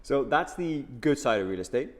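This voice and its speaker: A man's voice: medium pitch, moderate pace. Enthusiastic male channel host. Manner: energetic, enthusiastic presenter. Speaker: host and interviewer. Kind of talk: upbeat channel interview.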